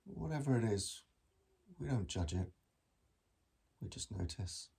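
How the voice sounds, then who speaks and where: Man, meditation teacher, mellow dark tone, gravelly creak, gentle measured phrasing, long pauses. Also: on the low side, lively intonation. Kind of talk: guided body scan meditation.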